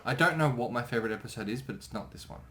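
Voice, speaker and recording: Quick, bright-voiced man in casual conversation. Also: medium pitch. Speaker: co-creator giving commentary. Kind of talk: casual commentary chat.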